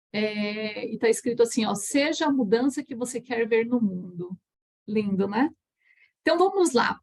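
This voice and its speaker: Brazilian woman, healing instructor, a little high and husky, chatty, keeps checking in with listeners. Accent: Brazilian Portuguese. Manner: warm, chatty, frequent restarts and "tá?" check-ins. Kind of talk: live online talk to an audience.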